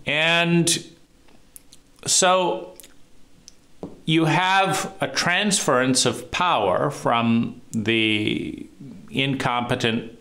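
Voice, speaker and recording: A man with a deep voice giving a reflective monologue, talking slowly and deliberately with frequent pauses and fillers. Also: sharp tone. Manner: slow, deliberate, pausing often with fillers. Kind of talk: reflective monologue on trauma and psychology.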